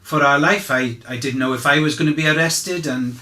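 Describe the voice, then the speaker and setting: A man playing a candid fisherman disciple testifying in a dramatized inquiry, with a hesitant, halting, conversational delivery. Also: fairly high pitch, pushed and bright. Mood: ashamed, uneasy. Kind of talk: naturalistic dramatized courtroom testimony. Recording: echoey room sound.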